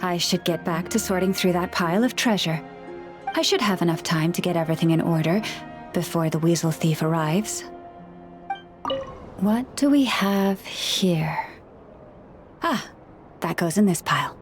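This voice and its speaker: A woman voicing a gentle, wise woman in a video game who speaks with animals, talking in a calm, measured, softly reflective way. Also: lowish voice, music in the background, natural voice.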